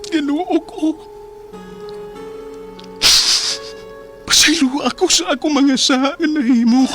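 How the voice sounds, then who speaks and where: A humbled, devout man with a very high voice and a Cebuano accent. He speaks with heartfelt pleading in a radio drama, over soft music. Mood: pleading, prayerful. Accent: Cebuano Filipino. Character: humbled, repentant, devout man saved from death. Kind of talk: emotional radio drama acting.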